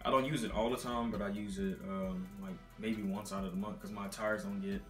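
A man's voice: fairly low pitch, steady tone, brisk, reverberant, faint music behind. A young man from Texas casually rambling through a car care product rundown, full of fillers, recorded on a laptop mic in a dim apartment. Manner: rambling, filler-heavy, laid-back. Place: dim apartment living room, indoors. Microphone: laptop built-in mic.

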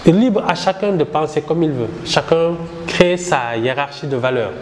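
A middle-aged man from Senegal, the founder of a satirical newspaper, answering interview questions in a measured, reflective way while gesturing a lot. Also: on the high side, animated, a little hiss or hum. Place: small indoor room with poster backdrop. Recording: roomy with reverb and faint ambience.

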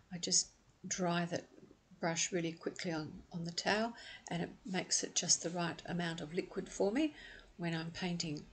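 A middle-aged woman from Australia gives a calm, patient step-by-step watercolour painting lesson, with frequent ums. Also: on the low side, husky. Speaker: watercolour art teacher. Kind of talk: step-by-step painting demonstration voice-over.

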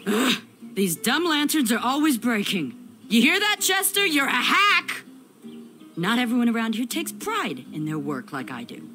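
Brash, animated village blacksmith. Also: female voice, a little high, normal speed, heavily acted. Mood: irritated. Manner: feisty, emphatic. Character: brash, proud young woman village blacksmith. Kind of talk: animated adventure game voice acting. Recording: faint music behind the dialogue.

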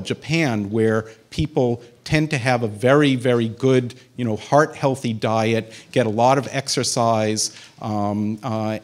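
Man lecturing with a handheld mic. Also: medium pitch. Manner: conversational, many fillers and restarts. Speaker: physician giving a public talk. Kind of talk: public lecture with audience questions. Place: community center meeting room. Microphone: handheld microphone.

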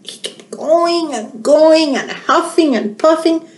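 A woman's voice: high voice, slow. A middle-aged woman hosting a storytime for young children, speaking to them directly. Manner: animated, addressing little children directly. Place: small indoor room. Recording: roomy, echoey home webcam sound.

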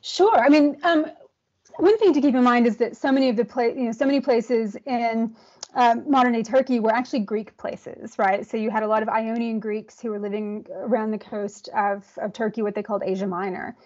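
A woman's voice: fairly high pitch, fairly even intonation, fairly quick, a little mellow. Southern American woman on a video call. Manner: friendly, laughs often, searches for words with many ums. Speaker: guest translator. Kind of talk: casual video call conversation. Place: indoor office. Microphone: earbud headset on video call. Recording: dull lo-fi video call audio.

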